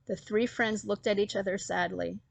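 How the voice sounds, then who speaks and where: A woman reads a children's story as its narrator. Her voice sits at an average pitch and is expressive in its telling. The recording is dry, with little room sound. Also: moderate pace, creaky, no echo.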